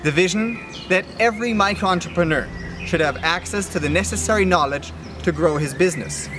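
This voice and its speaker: A man's voice: sits high, fairly quick, music in the background. A young man, a business student, reading scripted narration for a presentation. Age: young adult.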